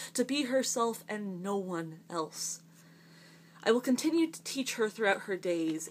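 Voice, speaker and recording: A woman performing slam poetry in an earnest, emphatic, rhythmic delivery with deliberate pauses. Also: mid-range voice, a little hiss or hum. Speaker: slam poet.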